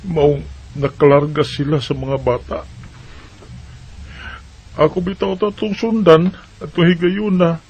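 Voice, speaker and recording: A man acting out a lonely husband who misses his wife and children. He speaks wearily and plaintively, in a husky, slightly raised voice. The recording sounds dull and lo-fi. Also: a little high, fairly even intonation, measured pace, pauses often, a little mellow. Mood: downcast. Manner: weary, plaintive. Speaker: son. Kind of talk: radio drama acting.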